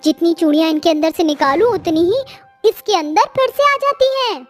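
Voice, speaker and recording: A woman puts on a kind, emotional young bangle seller from a storybook. The voice is extremely high and expressive, with faint music behind. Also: moderate pace. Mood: pleased.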